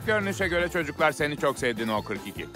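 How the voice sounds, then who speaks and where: A man's voice: on the high side, very animated, fast. A man playing a businesslike leader of robot cars in a children's cartoon, giving instructions matter-of-factly. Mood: firm, matter-of-fact. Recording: music behind. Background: children's music.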